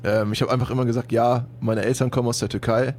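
A man's voice: medium pitch, flat delivery, quick pace, a little mellow, a little hiss or hum. A young man from Germany hosting a casual podcast chat, joking and laughing often, with lots of fillers. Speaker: podcast host.

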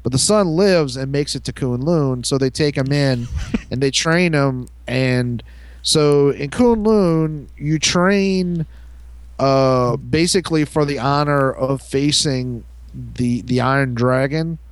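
Halting male podcaster, middle pitch. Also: clear, resonant tone, no echo. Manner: halting, repeats words, explanatory. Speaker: podcast co-host. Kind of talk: casual banter about comics and shows.

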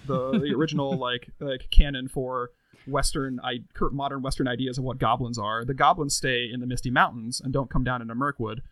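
A man's voice: mid-range voice, steady tone, a little fast, fairly bright tone, no room sound, low-quality recording. A man co-hosting a casual podcast, riffing playfully on wordplay. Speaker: podcast co-host.

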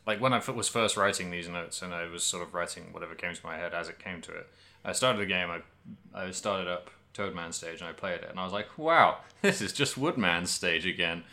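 Deep, bright-voiced man chatting about games. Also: brisk. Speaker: podcast co-host. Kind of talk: casual video game discussion.

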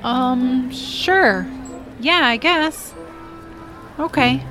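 Actress as awkward, excitable geek girl for comedy audio drama, high-pitched, faint music underneath. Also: drawn-out words. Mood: flustered. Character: shy, socially awkward young gamer geek woman, quick-tempered online.

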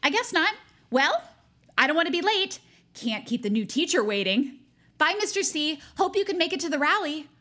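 A self-righteous teenage schoolgirl in a naturalistic audio drama. Her high voice swings up and down, earnest and bossy as she pushes her point. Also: sing-song, moderate pace, sharp tone, full character voice. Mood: pointedly sweet.

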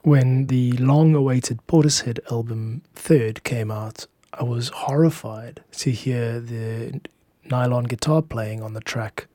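A man hosting a podcast as a composer, narrating from a script in a measured, reflective way with deliberate phrasing. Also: medium pitch, dark tone.

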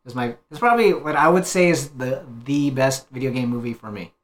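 A man speaking as a co-host in a relaxed group review. His talk is informal and conversational, delivered in short phrases at a middling pitch. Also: a little fast. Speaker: co-host of a movie review show. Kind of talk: casual group review chat.

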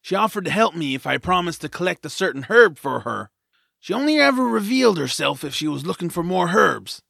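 Gruff, folksy male sidekick played by a man, raspy and creaky, a wary storyteller for a fantasy audio drama. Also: high voice, very animated, a little fast, pushed and bright, dry recording, clean studio sound, full character voice. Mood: ominous. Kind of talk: family audio drama acting.

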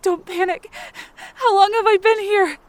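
Woman acting high voiced anxious injured lady stuck in the wilds, telling it in short halting bits, fiction podcast drama. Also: steady tone, no echo. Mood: panicked, tearful. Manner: introspective, short halting phrases, present-tense narration. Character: anxious, self-blaming injured woman trapped in the wilderness. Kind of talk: dramatic first-person audio drama narration.

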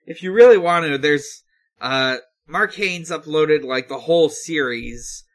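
A man co-hosting a casual recap discussion, explaining things in a halting, hesitant way. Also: fairly high pitch, fairly bright tone. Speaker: podcast co-host recapping episodes. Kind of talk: casual episode recap with co-hosts.

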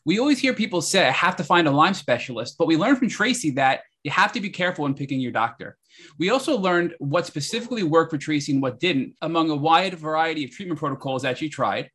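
Fast husky man reading a recap. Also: fairly high pitch, animated, a bit bright. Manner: brisk read recap. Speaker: podcast co-host. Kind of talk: scripted episode recap.

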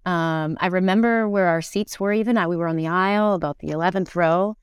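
A middle-aged woman chatting reflectively as an interview guest, animated and thinking aloud, often doubling back on her words. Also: lowish voice, brisk, clean tone, dry recording, studio quality. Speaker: interview guest, musical theatre actor. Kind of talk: casual reflective conversation.

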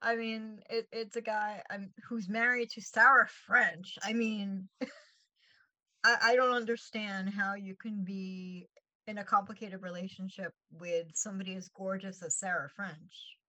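Female co-host, New York accent, bright forward voice, chatty and informal, close dry sound. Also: medium pitch, steady tone, unhurried, no room sound, low-quality recording. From New York, United States. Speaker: show co-host. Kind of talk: casual chat.

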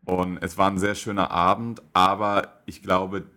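Deep-voiced young man rambling casually. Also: level tone, a little slow, bright, forceful tone. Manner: rambling, many filler words. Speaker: podcast co-host. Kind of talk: casual chat.

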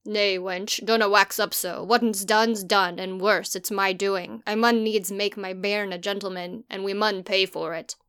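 A woman's voice: medium pitch, steady tone, fairly bright tone, clear, resonant tone. A woman voicing a worried old farmer. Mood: earnest. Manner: slow, earnest, broad dialect.

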